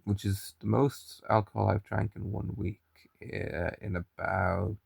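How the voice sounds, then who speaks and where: A man's voice: lowish voice, level tone, measured pace, vocal fry, husky, dry recording, clean studio sound. A young man with a mild Irish accent, chatting and rambling to camera in a daily vlog, often restarting his sentences. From Ireland. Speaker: daily vlogger. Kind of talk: casual chat to camera.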